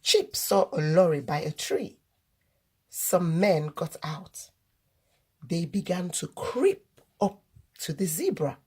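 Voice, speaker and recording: A woman reading a children's story aloud as host, in deliberate, expressive narration. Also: fairly low pitch, breathy edge.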